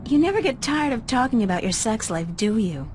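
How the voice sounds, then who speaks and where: Casting: young game heroine, casual and curious, fairly high voice, faint noisy ambience behind. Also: female voice, very animated, fairly quick, a little mellow. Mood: friendly, teasing. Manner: inquisitive, casual. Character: friendly, curious young woman, newcomer to the city. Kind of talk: video game voice acting.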